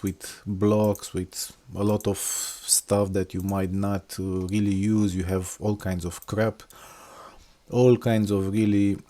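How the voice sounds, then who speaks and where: A middle-aged man from Romania talks slowly through a screen walkthrough, pausing often and filling gaps with fillers. His voice is low and mellow. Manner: unscripted, hesitant, many fillers. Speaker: software engineer and blogger. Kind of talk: casual screen-recorded walkthrough.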